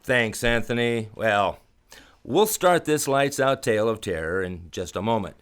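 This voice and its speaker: A man's voice: lowish voice, expressive, a little slow, a bit bright, clear, resonant tone. A man hosting a radio show from a studio in a theatrical, old-time announcer style, with grand scripted narration.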